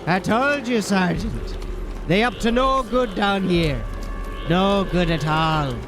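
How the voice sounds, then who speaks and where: Grumbling, high-voiced fantasy sapper man. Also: slow, background noise. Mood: foreboding. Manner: rustic, folksy grammar, grumbling. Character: grumbling, superstitious male sapper, loyal and doom-saying. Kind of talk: fantasy audio drama acting. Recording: loud music under the voice.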